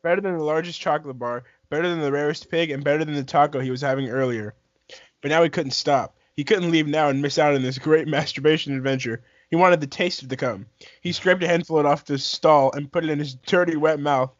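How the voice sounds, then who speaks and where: A young man with a slightly high voice reads aloud in a casual way. He trips over phrases and goes back to repeat them. The sound is dry and a bit muffled, like a lo-fi voice chat. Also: fairly quick, dry recording. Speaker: amateur fan fiction reader. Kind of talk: casual read-aloud among friends.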